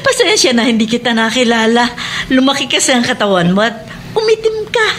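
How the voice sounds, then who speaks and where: Filipino schoolteacher, highish pitch, emotional, motherly, kindly, radio play, slight background noise. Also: sing-song, brisk, a bit bright. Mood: surprised, curious. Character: kindly female schoolteacher, warmly proud of former pupil. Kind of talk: Philippine radio drama acting.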